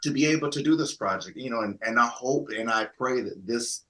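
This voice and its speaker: A man's voice: mid-range voice, a little fast, sharp tone. A man, an actor, talking enthusiastically and rambling with lots of fillers in a casual interview over a video call.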